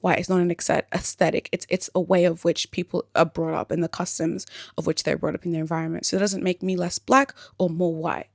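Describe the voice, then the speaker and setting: Low, creaky woman vlogging. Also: level tone, brisk, few pauses. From born in Africa, grew up in Australia. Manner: thoughtful, rambling, frequent ums and likes. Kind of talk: reflective personal vlog talk.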